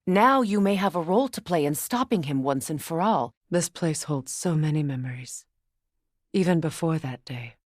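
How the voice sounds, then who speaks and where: An actress voicing a proud, guarded warrior goddess and witch in a video game, with measured, formal and earnest phrasing. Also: low-pitched, lively intonation, dry recording, studio quality. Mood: sorrowful, subdued. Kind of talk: video game character dialogue.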